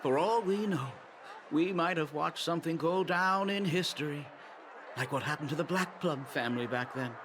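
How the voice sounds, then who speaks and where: A man's voice: a little high, moderate pace, background noise, lightly acted. An actor voicing a sardonic, gossipy male security worker with formal airs in a video game. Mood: conspiratorial. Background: game music.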